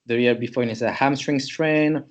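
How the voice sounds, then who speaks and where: A man's voice: medium pitch, moderate pace, dull audio. A man from Switzerland, a chiropractor, presents a patient case to students in a loose, conversational way, thinking aloud as he goes.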